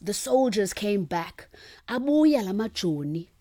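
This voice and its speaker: A woman's voice: mid-range voice, sing-song, measured pace, dark tone, dry recording, clean studio sound. A woman who sings short phrases of a song and then explains what they mean. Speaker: singer explaining her song. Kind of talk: sung phrases with spoken explanation.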